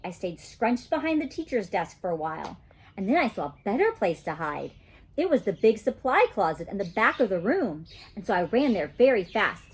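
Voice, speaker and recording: A woman reading a children's story aloud in an animated, childlike storytelling manner. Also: mid-range voice, husky.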